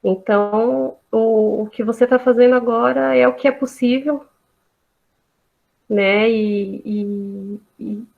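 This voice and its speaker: Woman teacher, slow and reassuring, somewhat high voice, phone-quality sound. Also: steady tone, gravelly. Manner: reassuring, many tag questions. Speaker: Waldorf teacher answering parents. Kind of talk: online talk answering questions. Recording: tinny phone-like audio.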